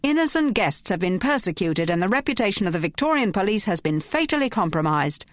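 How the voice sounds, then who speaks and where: A woman's voice: medium pitch, sing-song, fairly quick, no echo, clean studio sound, close to an everyday voice. A woman voicing a pompous male hotel manager speaking indignantly.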